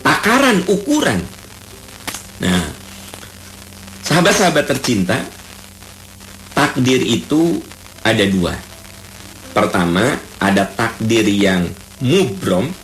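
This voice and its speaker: A man from Bandung with a Sundanese accent, preaching a lively radio sermon that repeats key terms and recites Arabic verses. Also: medium pitch, slow, pauses often, a little hiss or hum. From Bandung, Indonesia. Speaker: Islamic preacher. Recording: roomy radio broadcast with faint ambience.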